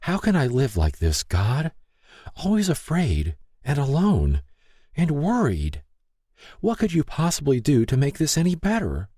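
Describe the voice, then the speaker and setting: A man's voice: fairly high pitch, huge pitch swings, unhurried, warm tone, no room sound, clean studio sound, voice pushed up, exaggerated voice. A man voicing a distraught young woman who prays alone, asking anguished, pleading questions in an audiobook. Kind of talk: audiobook character reading.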